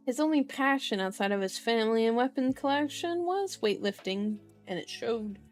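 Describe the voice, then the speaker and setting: A woman's voice: on the high side, animated, normal speed, soft background music. A woman streamer doing an ASMR read-aloud of a book, breaking off for casual, playful, silly chat. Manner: playful, silly asides and baby-talk.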